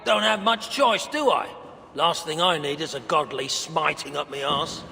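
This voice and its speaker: Gravelly, grumbling British dwarf. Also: male voice, on the high side, normal speed, sharp tone. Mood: grudging, irritable. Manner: blunt, irritable, swearing slang. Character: gruff, grumbling, grieving dwarf broker, crude-tongued. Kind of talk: video game cutscene acting.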